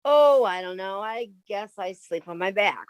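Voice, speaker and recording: A woman's voice: mid-range voice, lively intonation, normal speed, clear, resonant tone, lightly acted. A woman playing a sensible, exasperated young roommate in a radio comedy. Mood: weary, exasperated. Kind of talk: 1950s radio sitcom reading.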